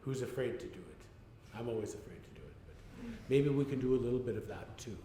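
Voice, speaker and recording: Composer talking to a group in a big echoing hall. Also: male voice, medium pitch, quick pace, dark tone. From Canada. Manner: rambling, self-correcting, conversational. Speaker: composer giving a workshop talk. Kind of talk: informal talk to a group. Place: large echoey room with an audience. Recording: distant echoey hall recording, room noise.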